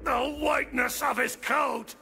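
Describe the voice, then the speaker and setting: A man playing a huge raving psycho in a video game, screaming cryptic outbursts in a shrill, strained, gravelly voice. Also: steady tone, unhurried, bright, forceful tone, shouting, slight background noise, full character voice. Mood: frantic bellowing. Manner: declaims in short cryptic outbursts. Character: huge raving male psycho, manic and shouting nonsense poetry.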